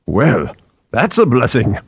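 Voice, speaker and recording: A man's voice: medium pitch, wildly swooping pitch, slow, breathy edge, dry recording, voice pushed up, exaggerated voice. Man voicing a stern, commanding old scientist. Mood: sharp, probing. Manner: ominous, emphatic. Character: stern old male head scientist, commanding, austere, exasperated.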